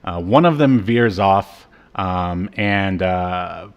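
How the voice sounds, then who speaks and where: A male podcast co-host with a lowish voice talks through a TV episode. His delivery is casual and halting, wandering into side remarks. He keeps the tone irreverent and jokey. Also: clear, resonant tone, dull audio. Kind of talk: casual chat recapping a TV episode.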